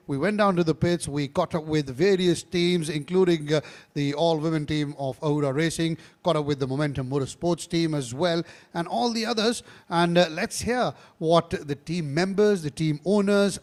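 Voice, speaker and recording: A man hosting a live motorsport webcast, presenting segments and interviewing team owners in a brisk, upbeat way. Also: on the high side, a little mellow. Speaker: racing webcast host and pit reporter. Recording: music playing underneath.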